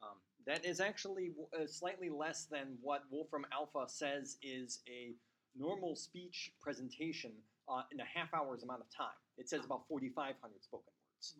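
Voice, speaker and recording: A man's voice: on the high side, normal speed, a bit bright, husky. Chatty man giving a public talk. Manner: chatty, laughs often, repeats words when starting. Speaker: guest speaker. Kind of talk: public talk with casual chat before.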